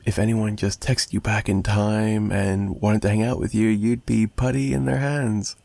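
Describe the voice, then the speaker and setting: A man playing a possessive, menacing and flirtatious mafia boss in an ASMR roleplay monologue, taunting and sarcastic with drawling pauses. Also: on the low side, little pitch movement, vocal fry, dark tone.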